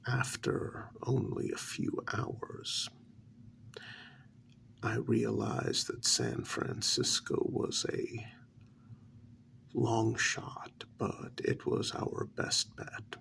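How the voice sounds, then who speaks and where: A man playing a deferential agent reporting to his superior in a soft, half-whispered ASMR role-play, with a measured, halting delivery and a gravelly, breathy voice. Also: medium pitch, very animated, slight background noise, heavily acted. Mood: measured, subdued.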